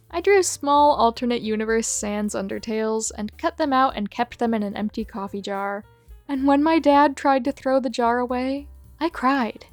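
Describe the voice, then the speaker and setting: A woman hosting a video who reads out short fan confessions one after another in a brisk, matter-of-fact way. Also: fairly high pitch, dry recording. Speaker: host reading viewer submissions. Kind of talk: reading aloud a list of fan confessions.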